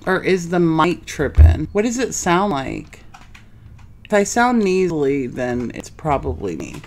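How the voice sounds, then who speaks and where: A woman's voice: sits low, sing-song, unhurried, darkish tone, slight background noise. A woman in her forties with a nasal voice, hosting a casual live sewing stream from her home craft room on a desk mic. She chats in a rambling way and talks straight to her viewers. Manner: chatty, addresses viewers directly, rambling. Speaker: craft livestream host.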